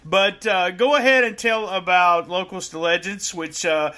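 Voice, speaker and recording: Mężczyzna w średnim wieku, prowadzący podcast, jasny, dość wysoki głos, gada chaotycznie z żartami. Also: male voice, high-pitched, fairly even intonation, measured pace, sharp tone. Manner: rambling, lots of uh fillers, joking. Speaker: podcast host. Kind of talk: casual interview chat.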